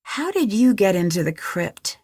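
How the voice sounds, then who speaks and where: A woman's voice: mid-range voice, very animated, unhurried, a little mellow, no echo. A young woman sleuth in a mystery game. She is earnest and inquisitive, with a mid-range voice and natural, polite delivery. The sound is close and dry, with no room around it. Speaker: video game voice actor. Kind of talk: scripted game dialogue, clear and natural.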